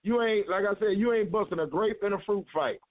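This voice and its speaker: High-voiced man hosting on a tinny line. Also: a little fast, no echo. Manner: loud, preachy, teasing. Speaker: show host. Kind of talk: casual radio chat. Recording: dry, phone-like lo-fi line.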